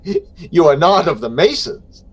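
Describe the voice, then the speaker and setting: An actor playing a boastful, tipsy, scornful nobleman in a theatrical gothic reading, with exaggerated drawn-out delivery. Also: fairly high pitch, very animated, husky, exaggerated voice. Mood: mocking glee.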